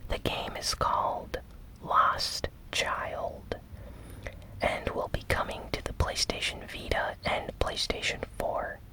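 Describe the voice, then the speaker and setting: Slow, whispering ASMR news host. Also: pushed and bright, poor audio. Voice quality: unvoiced, breathy whisper. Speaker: host of a gaming news show.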